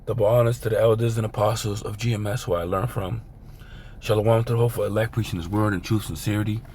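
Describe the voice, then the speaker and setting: A man with a raspy, hoarse voice giving an informal, rambling video sermon as a religious teacher. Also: on the low side, steady tone, fast, a little mellow, slight background noise. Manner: rambling, filler-heavy, conversational.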